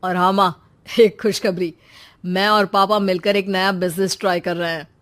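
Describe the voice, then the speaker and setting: A woman voicing an earnest, trusting young brother in an animated story, talking softly and hesitantly. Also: medium pitch, moderate pace, lightly acted. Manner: soft, hesitant, confiding.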